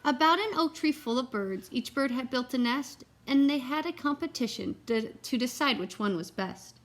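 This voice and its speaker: A woman with a slightly high voice reads a children's picture book aloud. Her delivery is expressive and geared to young listeners, with simple explanations along the way. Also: moderate pace. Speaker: read-aloud teacher and storyteller.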